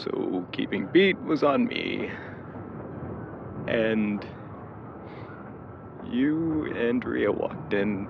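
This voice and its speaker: A man acting in an audio drama as a lovestruck young man, awkwardly tender and teasing, with a halting, hesitant way of talking. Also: medium pitch, creaky, highs cut off, background noise, full character voice.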